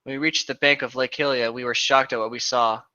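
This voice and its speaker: A man's voice: mid-range voice, steady tone, fairly quick, bright, forceful tone, clean tone, muffled recording. A man reading a story aloud and breaking off for casual, joking commentary. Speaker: reader on a bad-fanfiction reading show.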